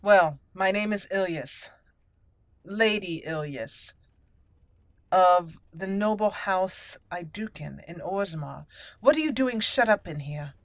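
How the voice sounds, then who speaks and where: A woman voicing a polite, earnest young noble elf mage in a game roleplay, speaking in a measured, formal way. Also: fairly low pitch, lively intonation, dry recording. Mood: polite, conciliatory. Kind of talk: roleplay reading of game dialogue.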